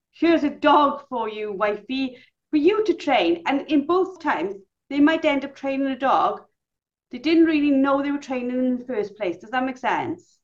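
Animated woman on lo-fi audio. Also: a little high. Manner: animated storyteller, acts out quotes. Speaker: podcast host. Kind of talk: casual chat. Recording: dull lo-fi call audio.

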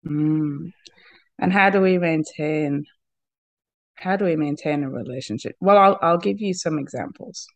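Podcast guest, female, husky from a cold, with a gravelly edge; open, laughing, halting restarts. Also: deep voice, no echo. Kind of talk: candid personal conversation.

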